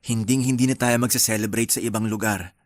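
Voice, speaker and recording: A man's voice: on the low side, fairly even intonation, a little fast, natural voice. An actor playing an anxious, placating young husband and father in a Filipino radio drama, with a Filipino accent. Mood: apologetic, soothing.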